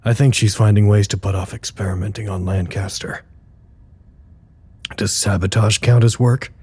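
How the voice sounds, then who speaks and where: A troubled, weary man in an audio drama, with a fairly low voice. He thinks aloud in a measured, sceptical way, dry and brooding. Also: fairly even intonation, mellow, slightly rough.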